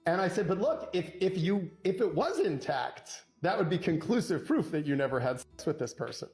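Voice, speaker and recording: Animated male storyteller over music. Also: a little high. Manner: punchy, acts out quoted dialogue with dramatic pauses. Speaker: divorce lawyer telling an anecdote.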